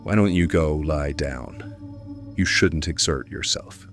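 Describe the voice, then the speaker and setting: A man acting a protective, decisive friend of the family in an audio drama. His voice is deep, and his phrasing is caring and a little formal. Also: slow, a bit bright, faint music behind, heavily acted. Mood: concerned.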